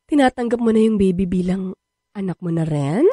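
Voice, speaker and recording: A woman's voice: medium pitch, lively intonation, brisk, dark tone, no room sound, studio quality, close to an everyday voice. An actress playing a playful, pushy young Filipino woman in a radio drama, coquettish and wheedling as she teases. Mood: teasing.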